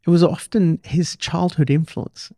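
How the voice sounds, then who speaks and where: Male podcast host, creaky voice, close dry sound, casual chat, chatty storyteller, medium pitch. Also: steady tone, a little slow, dark tone, no room sound. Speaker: podcast host interviewing a guest.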